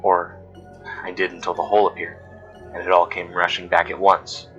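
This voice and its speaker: Man voicing a haunted, secretive woman, low-pitched. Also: completely flat delivery, normal speed, fairly bright tone, highs cut off. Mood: grave, troubled. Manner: grave, confiding. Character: haunted, secretive woman coworker recounting eerie horrors. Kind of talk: scripted horror audio drama. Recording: music bed under voice. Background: background music.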